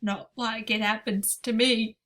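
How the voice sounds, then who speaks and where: A woman voicing a huge, kindly old male giant who speaks slowly and loudly with muddled grammar. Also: fairly even intonation. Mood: earnest. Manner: slow, booming, mangled words.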